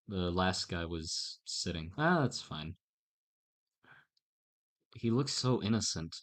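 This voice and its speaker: A man chatting casually on a live stream while he draws, in a halting, self-mocking way. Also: sits low.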